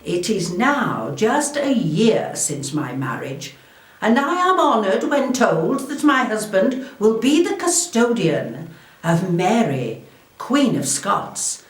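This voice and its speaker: A woman playing a proud, shrewd, wealthy Elizabethan noblewoman, delivering a formal, theatrical first-person historical monologue in a deliberate way. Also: medium pitch, very animated. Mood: proud, gracious. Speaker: volunteer historical re-enactor. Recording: echoey room, distant microphone.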